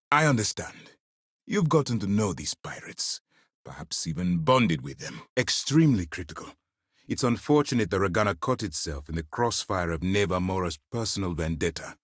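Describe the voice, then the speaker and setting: A man playing a stern, principled space navy commander in a video game, speaking in a formal, measured, authoritative way. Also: fairly low pitch, a bit bright, husky, dry recording, studio quality. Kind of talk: scripted game dialogue.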